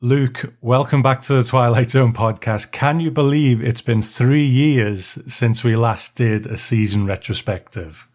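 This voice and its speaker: A man's voice: mid-range voice, measured pace, hardly any pauses, darkish tone. A man hosting a podcast, moving between prepared remarks and easy conversation with a guest. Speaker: podcast host. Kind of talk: podcast talk, partly prepared monologue and partly chat.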